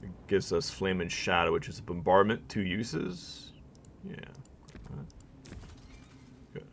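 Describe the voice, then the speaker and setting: A man with a very low voice, rough with vocal fry and weak from a long weekend of talking. He commentates his game live, musing aloud and reading bits of text to viewers. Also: sing-song, slow, background noise. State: weak, worn-out voice. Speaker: streamer.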